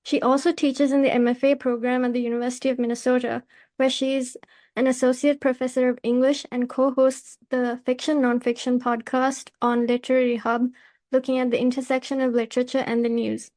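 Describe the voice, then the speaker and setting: Female literary podcast host, high voice with very flat intonation, formal and measured, scripted read. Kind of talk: scripted podcast introduction and questions.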